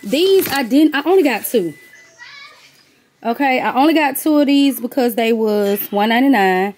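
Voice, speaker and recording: A woman's voice: a little high, lively intonation, normal speed, a little mellow, slight background noise. Chatty woman showing a haul. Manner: chatty, addresses viewers as y'all and honey. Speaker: vlogger and gift basket maker. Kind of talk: casual haul vlog. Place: warehouse-style room with boxes, indoors. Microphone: handheld phone camera.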